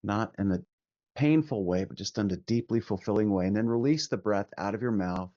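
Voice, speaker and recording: A man leading a guided meditation as a teacher, speaking gently and soothingly with long pauses between short instructions. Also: lowish voice, no room sound, muffled recording.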